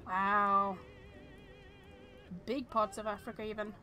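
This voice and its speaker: A woman's voice: mid-range voice, little pitch movement, slow, bright, forceful tone, faint music behind. A woman in her thirties streaming a visual novel, chatting and thinking aloud with hesitant restarts. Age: 30s.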